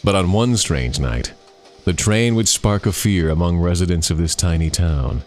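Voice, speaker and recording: A man reading a scary story as a storyteller, with suspenseful, deliberate dramatic phrasing. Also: low-pitched, a little mellow, faint music behind.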